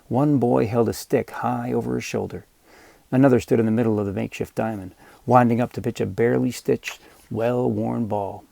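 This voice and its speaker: A man narrating scripted fiction as an audiobook reader, with measured, dramatic storytelling. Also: lowish voice, gravelly, warm tone, no room sound.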